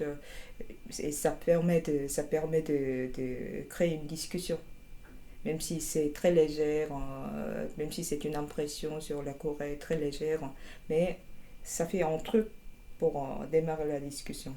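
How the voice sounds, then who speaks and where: Young Korean woman, Korean accent, deep mellow tone, hesitant and wry interviewee. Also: a little hiss or hum. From South Korea. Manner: hesitant, many fillers and restarts, wry humor. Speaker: interviewee, master's student. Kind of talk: interview answers.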